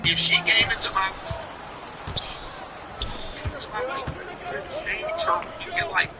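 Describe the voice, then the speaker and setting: A man's voice: very high voice, sing-song, takes it slowly, over music. A man in his forties hosting a live stream, ranting bluntly and profanely in a casual, opinionated way. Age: 40s. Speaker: livestream host. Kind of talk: casual livestream rant.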